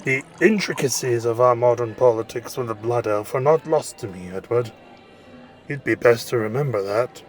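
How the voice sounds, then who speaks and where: Loud, blustering middle-aged king played by a man. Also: mid-range voice, normal speed, soft background music, natural voice. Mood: boisterous, stern. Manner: loud, commanding, irritable. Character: blustering, imperious king and father. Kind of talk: audio drama acting.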